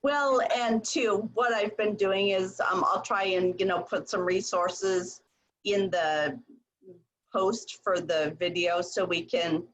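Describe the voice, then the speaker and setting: A woman hosting a video call interview, talking in a relaxed, reflective way full of fillers. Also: mid-range voice, takes it slowly, low-quality recording. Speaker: coach, host.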